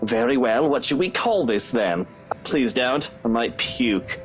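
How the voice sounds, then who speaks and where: An actor playing an arrogant, sulky young husband, sarcastic and dismissive. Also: male voice, mid-range voice, lively intonation, measured pace, lightly acted. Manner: sarcastic, dismissive, theatrical swoops. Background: faint game music.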